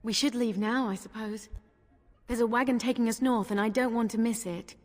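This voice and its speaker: A woman acting determined young village girl, fantasy game, earnest, fairly high voice, long reverb. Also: steady tone, normal speed, a little mellow, close to an everyday voice. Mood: worried, resolute.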